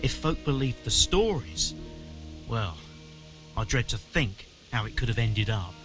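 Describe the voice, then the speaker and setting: A man playing a solemn, grateful medieval quarry owner in a video game, measured and sententious. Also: medium pitch, expressive, music in the background, natural voice. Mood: grave, grateful. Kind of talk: video game character dialogue.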